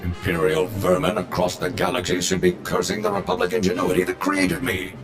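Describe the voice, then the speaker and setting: An actor playing a pompous, zealous patriotic war droid in a video game, declaiming grandly. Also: male voice, deep voice, monotone, fairly quick, music in the background, close to an everyday voice. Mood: indignant zeal. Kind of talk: video game cutscene dialogue.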